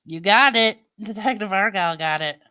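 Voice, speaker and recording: A woman with a St. Louis accent in casual chat with viewers, talking in a slow, drawling way. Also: medium pitch, bright, forceful tone, clear, resonant tone. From Midwestern United States. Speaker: streamer chatting with viewers.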